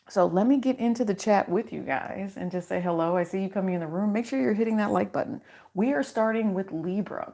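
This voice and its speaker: A woman with a low voice hosting a casual livestream card reading into a microphone, chatty and reassuring as she speaks in affirmations. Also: a little mellow. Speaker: tarot reader and channel host.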